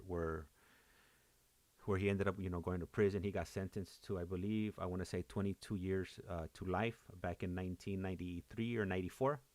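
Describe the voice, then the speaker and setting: Deep male host, explanatory and filler-heavy, dry close recording. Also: darkish tone, no room sound. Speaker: show host. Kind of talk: live show narration.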